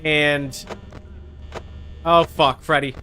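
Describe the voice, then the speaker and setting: A man with a somewhat high-pitched voice streams a game with casual running commentary. He is chatty and talks to his chat in a playfully exasperated way. There is some noise behind his voice. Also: fairly even intonation, very slow, sharp tone. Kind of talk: casual live gameplay commentary.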